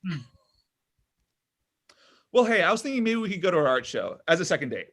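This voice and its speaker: A man reads a needy, pushy thirty-something guy who gets defensive fast. His voice sits a bit high and bright, jumping up and down as he over-explains and whines. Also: big pitch swings, exaggerated voice. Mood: awkward, defensive. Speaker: actor playing William. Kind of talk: table read of a comedy pilot.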